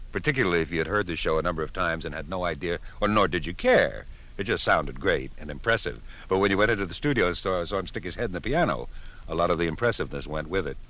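A man's voice: sits low, pushed and bright. A man hosting a retrospective, narrating in a wry, reminiscing style. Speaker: narrator and host.

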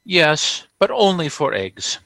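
A man's voice: a little high, sing-song, slow pace, creaky, a bit bright, no room sound, exaggerated voice. A man playing an effusive, formal store boss in a radio comedy, pompous and emphatic. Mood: confiding. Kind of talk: old-time radio comedy acting.

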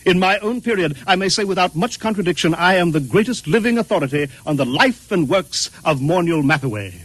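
Husky, formal scholar from the future. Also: male voice, sits high, expressive, a little fast, a bit bright, no echo, full character voice. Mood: reverent, proud. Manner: formal, precise, reverent, fussily explanatory. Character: courtly, excitable male art scholar from the far future. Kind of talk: vintage radio drama acting. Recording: hissy old-time radio recording.

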